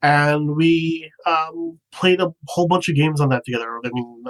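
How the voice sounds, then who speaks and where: A man's voice: fairly high pitch, fairly even intonation, normal speed, rough recording. Easygoing man in his thirties. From Canada. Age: 30s. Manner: easygoing, chatty, laughs often. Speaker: podcast guest. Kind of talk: casual podcast chat.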